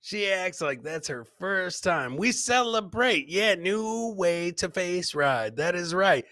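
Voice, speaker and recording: Bright-voiced, excitable man hosting a livestream. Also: high-pitched, slow, no room sound. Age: middle-aged. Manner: loud hype-man delivery, repeats phrases. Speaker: live comedy show host. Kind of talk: casual livestream banter, reacting to clips and chat. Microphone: close podcast mic on boom, headset.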